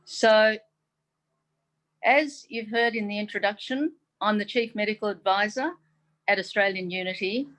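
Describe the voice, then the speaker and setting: A woman from Taiwan whose first language is Mandarin, a chief medical advisor giving a prepared forum speech in a measured, formal way with frequent "um"s, heard over a video call. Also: medium pitch, a bit bright, poor audio. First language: Mandarin Chinese.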